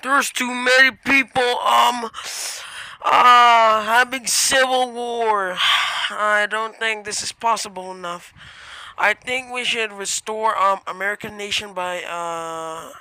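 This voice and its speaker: Man, very bright clear voice, halting, unsure, improvised speech, trailing off. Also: sits high, steady tone, no echo, rough recording. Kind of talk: hesitant improvised talk.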